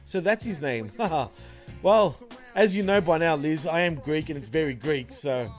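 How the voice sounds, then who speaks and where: A man's voice: fairly high pitch, lively intonation, unhurried, faint music behind. A man from Australia with an Australian accent, co-hosting a casual late-night wrestling podcast, laid-back and laughing often, swearing freely. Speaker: podcast co-host.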